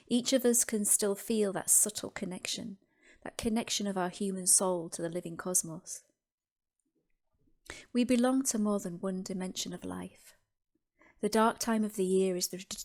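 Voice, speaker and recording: A woman's voice: mid-range voice, a little mellow. Woman leading a reverent guided meditation. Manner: slow, deliberate, reverent phrasing with pauses. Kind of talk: scripted guided meditation narration.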